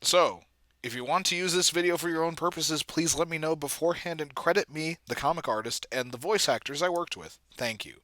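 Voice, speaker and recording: Male video creator, bright crisp delivery, dry close sound, casual screen-recording chatter, self-deprecating. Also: fairly high pitch, brisk, dry recording. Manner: self-deprecating, muttering asides while typing. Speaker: video creator, comic dub editor. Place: indoors at a computer.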